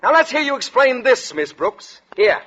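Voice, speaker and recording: Blustering high-pitched pompous principal. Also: male voice, sing-song, normal speed, bright, forceful tone, exaggerated voice. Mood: triumphant, indignant. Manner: pompous, accusatory, exclamatory. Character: pompous, suspicious, blustering new school principal. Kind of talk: 1940s radio comedy acting. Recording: dull vintage 1940s radio recording.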